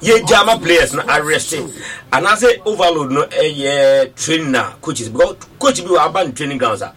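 A man's voice: fairly high pitch, expressive, normal speed, a bit bright, far from the mic. A man with a Ghanaian accent hosting a sports talk show, giving short prompts and switching between English and Twi. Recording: off-mic with faint background noise.

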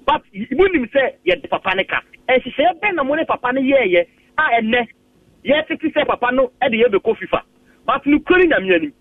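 A man with a Ghanaian accent calling in to a radio sports show, arguing his point haltingly and mixing Twi and English. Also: very high-pitched, big pitch swings, dry recording. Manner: argumentative, halting, repeats himself, mixes Twi and English. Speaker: phone-in caller. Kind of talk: heated opinion on a radio call-in. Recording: dry tinny phone line, distant.

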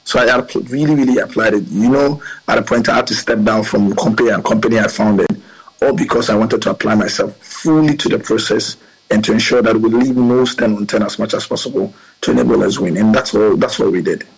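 A man's voice: fairly low pitch, a little fast, gravelly, breathy edge, low-quality recording. A man, a politician answering interview questions in an earnest, rambling way with many fillers. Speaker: politician guest.

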